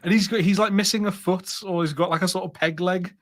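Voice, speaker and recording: A man's voice: high voice, steady tone, fast talker, fairly bright tone. A man co-hosting a casual review podcast, musing conversationally and a little hesitantly.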